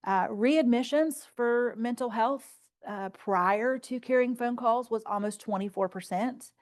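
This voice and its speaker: A woman's voice: fairly high pitch, unhurried. A woman presenting at a healthcare conference, telling patient stories and results in an earnest, informal, plain-spoken way. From rural area.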